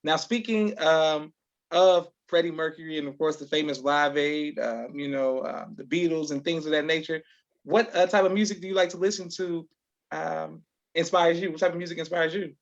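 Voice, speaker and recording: A man hosting a casual podcast interview, speaking haltingly with appreciative interjections, on a dull lo-fi recording. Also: on the high side. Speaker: podcast host. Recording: dull lo-fi sound.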